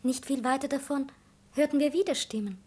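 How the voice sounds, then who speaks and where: An actress playing a dreamy young child who recounts in a simple, wondering way in a poetic radio drama. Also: female voice, high voice, fairly quick, a little mellow, natural voice. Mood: quiet recollection.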